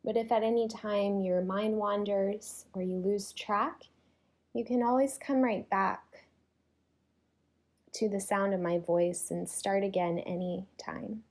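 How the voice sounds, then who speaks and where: Slow-paced woman guiding relaxation. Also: medium pitch, steady tone, darkish tone. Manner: soothing, unhurried, with long pauses between phrases. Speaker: yoga nidra teacher. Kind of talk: guided relaxation meditation.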